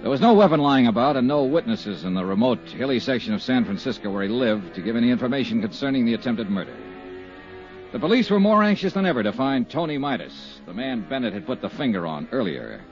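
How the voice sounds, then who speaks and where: A man's voice: medium pitch, very animated, quick pace, soft background music, close to an everyday voice. An actor playing a hard-boiled male insurance investigator in a 1950s radio drama, narrating in clipped, dry first person. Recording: dull lo-fi old radio broadcast.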